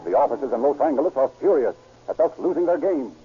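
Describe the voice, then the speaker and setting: A man's voice: mid-range voice, sing-song, fast talker. A man delivering scripted, dramatic narration in the style of a 1940s radio crime show. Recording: muffled vintage radio recording, loud music. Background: music.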